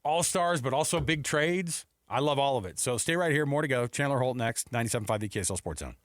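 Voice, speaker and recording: Casual American sports radio host, close dry sound. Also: male voice, mid-range voice, expressive, quick pace, no room sound.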